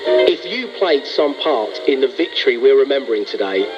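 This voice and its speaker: A man's voice: medium pitch, lively intonation, normal speed. British male radio presenter over music. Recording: dull band-limited AM radio broadcast.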